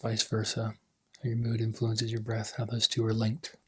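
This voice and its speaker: A man leading a guided meditation as a coach, speaking gently in unhurried phrases that trail off softly. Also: on the low side, monotone, slightly rough.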